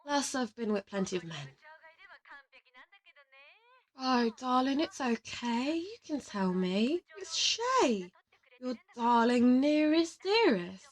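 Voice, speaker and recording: Female, slightly high voice, acting as a cocky, affectionate interrogator who teases her teammate in an audio roleplay. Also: very animated, slow, pauses often, full character voice. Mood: coaxing. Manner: teasing, affectionate, boastful. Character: teasing, confident woman interrogator in an assassin team. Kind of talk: audio roleplay addressed to the listener.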